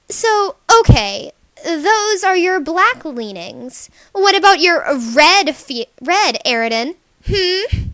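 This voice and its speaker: Woman doing super high bright fishy alien girl, bubbly and bossy, cartoony webcomic voice. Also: dry recording, full character voice. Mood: coy, curious. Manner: exclamatory, teasing, emphatic. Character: bubbly, scolding young alien sea girl, fish-pun loving. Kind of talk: character voice reel for a webcomic.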